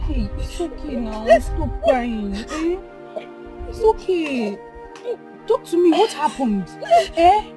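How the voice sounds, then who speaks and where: A concerned young woman in a Nigerian film drama. Her very high, lively voice presses insistently in a Nigerian accent, with clear music behind. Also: takes it slowly, full character voice. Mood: soothing, worried. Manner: repetitive, insistent soothing. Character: concerned young woman, protective friend and sister. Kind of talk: Nollywood film acting.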